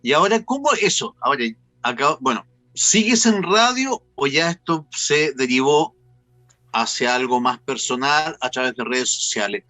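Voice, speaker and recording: A man's voice: medium pitch, expressive, moderate pace, sharp tone, no room sound. A Chilean man hosting a casual interview show, chatty, playful and teasing with his guest. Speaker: talk show host and interviewer.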